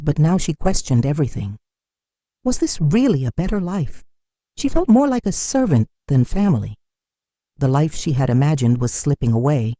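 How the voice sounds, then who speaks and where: A narrator reading a scripted dramatic story in a measured storytelling manner. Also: mid-range voice, mellow, no room sound, clean studio sound.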